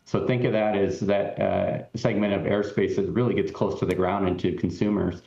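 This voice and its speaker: A man's voice: deep voice, steady tone, moderate pace, creaky, dark tone. A man, a company chief executive, answering questions in a TV interview over a remote link, explaining earnestly with frequent ums and restarts. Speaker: tech company CEO guest. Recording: roomy, dull remote feed.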